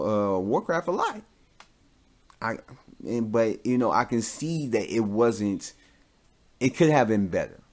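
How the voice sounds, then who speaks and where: A man's voice: on the low side, a little mellow. A man hosting a podcast, giving casual, rambling opinions in an informal, mildly profane way as he thinks aloud. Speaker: podcast host.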